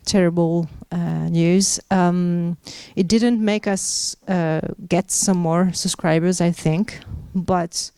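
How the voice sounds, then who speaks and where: A woman answers questions at a panel with a lowish, mellow and smooth voice. She halts often, restarting sentences with fillers. The sound is dry and close. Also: steady tone, takes it slowly, hardly any pauses, no echo. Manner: hesitant, many fillers and restarts. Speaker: media executive answering questions.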